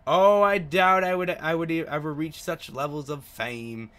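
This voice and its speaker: Man putting on a high, polite, modest writer. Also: normal speed, fairly bright tone, lightly acted. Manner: polite, hesitant. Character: polite, modest married male writer, self-effacing. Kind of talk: visual novel character read aloud.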